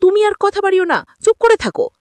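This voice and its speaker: A woman's voice: very high-pitched, big pitch swings, brisk, darkish tone, no room sound, voice pushed up. A woman voicing a defiant, agitated young wife in a family soap, urgent and insistent. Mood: angry.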